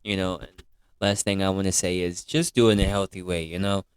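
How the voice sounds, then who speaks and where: Smooth-voiced emphatic man in his twenties. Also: low-pitched, fairly even intonation, fairly quick, dry recording, studio quality. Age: 20s. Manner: emphatic and opinionated, keeps saying "you know what I'm saying". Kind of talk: casual podcast chat.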